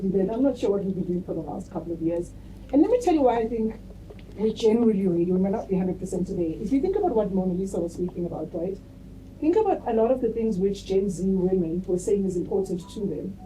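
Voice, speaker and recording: A woman speaking on a debate panel as a marketing executive, lively and laughing easily between points, often tagging her sentences with "right?". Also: medium pitch. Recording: distant mic with faint room noise.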